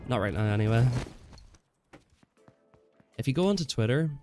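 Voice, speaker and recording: A man's voice: mid-range voice, animated, normal speed, music in the background. Congested young man chatting on stream. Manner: chatty, laid-back, answers chat, says "man" and "bro" often. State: congested from a cold. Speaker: gaming livestreamer. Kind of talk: casual live stream chat while playing.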